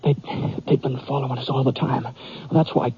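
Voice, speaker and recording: An actor playing a wounded, plain-spoken gunfighter who narrates haltingly in an old-time radio western. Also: male voice, mid-range voice, level tone, slightly rough. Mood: weak, straining. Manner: halting, folksy drawn-out storytelling. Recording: muffled old radio recording with hiss.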